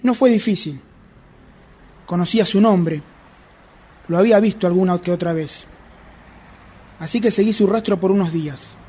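A man with an Argentine accent acting a brooding, obsessively jealous narrator in a radio drama, speaking deliberately and introspectively. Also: high voice, dark tone, slightly rough, faint music behind. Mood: brooding, confessional. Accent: Rioplatense Argentine Spanish. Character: obsessive jealous man confessing his secret pursuit of a rival.

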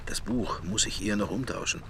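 A man's voice: on the low side, moderate pace, a bit bright, slight background noise, lightly acted. An actor playing a middle-aged man's drifting inner thoughts in a radio play, in murmured fragments. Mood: absorbed, musing. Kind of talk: radio play interior monologue.

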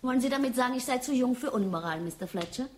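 A woman's voice: on the high side, animated, fast talker, darkish tone, lightly acted. An actress playing a brazen teenage delinquent girl in a German radio drama, flippant and provocative. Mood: cheeky.

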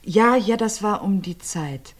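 An actress playing an abused widow being questioned about her husband's death, with hesitant, halting answers that often sink to a near whisper. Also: expressive. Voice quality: low, subdued, often drops to a near whisper. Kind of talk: radio crime play.

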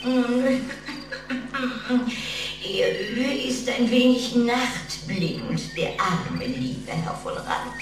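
A woman's voice: fairly high pitch, animated, extremely slow. An actress playing a doting, affected vampire lady in a radio play, speaking in a languid, sighing manner. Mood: doting, wistful. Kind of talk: German radio play acting. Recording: cavernous echo, distant, music underneath.

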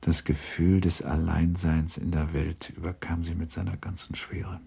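A man narrating a literary radio play in a grave, measured, restrained manner. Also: low-pitched, level tone, creaky, dark tone, breathy edge, exaggerated voice. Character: grave, restrained narrator of a 19th-century novel.

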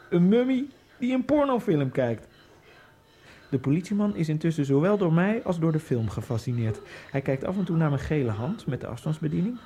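An actor playing a dead Egyptian immigrant man who narrates his own fate in a radio drama, in a quiet, dry and sardonic way. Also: on the high side. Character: dead male Egyptian immigrant, wry and detached. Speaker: first-person narrator.

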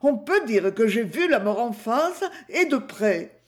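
Man voicing a shrill, dramatic butcher's wife. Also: sing-song, unhurried. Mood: shaken, dramatic. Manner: breathless, overdramatic retelling. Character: frightened plump woman butcher, dramatic.

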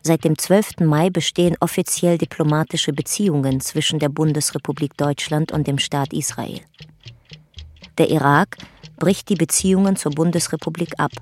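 An actress as the narrator of a historical radio drama, reading a chronicle in a sober, measured documentary manner. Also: female voice, sits low, steady tone, a little mellow.

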